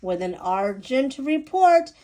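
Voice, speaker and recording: A woman reading a rhyming children's picture book aloud with deliberate, animated phrasing. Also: high voice.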